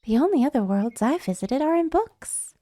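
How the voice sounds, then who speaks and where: Young game princess, acted by a woman: polite, earnest, resolute, measured delivery, close dry recording. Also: high-pitched, sing-song, warm tone, no echo. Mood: wondering.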